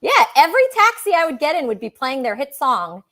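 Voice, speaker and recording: A woman's voice: high-pitched, sing-song, fairly quick, fairly bright tone. A woman hosting a casual livestream talk show, chatting with callers in a gushing, emphatic way.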